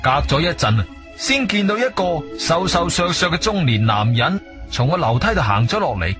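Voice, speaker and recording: Guy narrating radio drama, guangzhou cantonese, chatty storyteller, highish voice, music behind. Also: big pitch swings, moderate pace. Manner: conversational storyteller with interjections. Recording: music bed behind studio voice.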